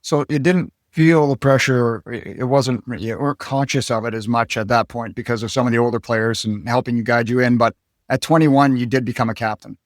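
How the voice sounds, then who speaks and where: A male interview host with a mid-range voice asks long, winding questions, pausing and restarting as he goes. He sounds close to the mic in a dry room. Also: medium pitch, fairly even intonation, dry recording. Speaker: podcast host.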